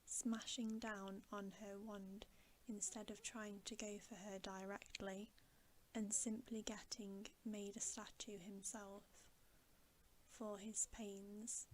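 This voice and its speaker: A woman reading a bedtime story in ASMR style, soft, breathy and often whispering, in a hushed, soothing manner. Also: medium pitch, little pitch movement, slow pace, a little hiss or hum, rough recording. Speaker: ASMR host reading aloud.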